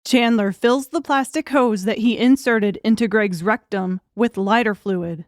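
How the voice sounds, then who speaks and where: A woman reading scripted narration for a dark thriller audiobook. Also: mid-range voice, measured pace, dry recording.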